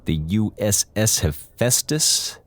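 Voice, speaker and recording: A man's voice: fairly low pitch, very animated, slow. A man playing an over-eager, evasive space station officer in an audio drama, rambling and stammering with theatrical casualness. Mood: falsely casual, nervous.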